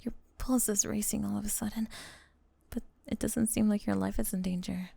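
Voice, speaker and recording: A woman's voice: mid-range voice, steady tone, normal speed, gravelly, dark tone, husky, dry recording. A woman voicing a doting, possessive snake-girl girlfriend with sweet menace, in an intimate, coaxing ASMR roleplay that often drops to a whisper. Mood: tender, controlling.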